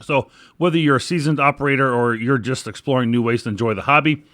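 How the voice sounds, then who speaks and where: A man's voice: medium pitch, fast talker, a bit bright, no room sound. American man hosting a hobby podcast, dry sound. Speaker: podcast host. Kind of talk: podcast news reading and sponsor reads.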